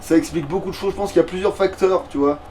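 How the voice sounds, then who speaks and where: A man's voice: sits high, very fast, dark tone. A man thinking aloud informally with some swearing as he plays a video game, picked up from a distance with faint noise. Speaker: let's play player. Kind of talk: casual gameplay chat while playing.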